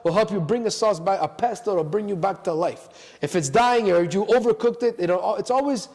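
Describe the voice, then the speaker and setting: A man's voice: high-pitched, a little fast, a little mellow. A man talking casually as a podcast guest, giving advice emphatically in short, repeated imperatives, with long reverb around his voice. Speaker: podcast guest, cooking social media creator. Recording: echoey hall-like reverb.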